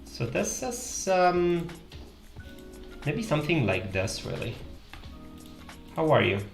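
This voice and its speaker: A man's voice: on the high side, big pitch swings, takes it slowly, gravelly. A young adult man from Italy with an Italian accent, casually chatting to viewers on a live stream while he draws, thinking aloud with many fillers. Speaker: livestream host. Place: small room indoors. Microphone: headset. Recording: echoey room with faint music.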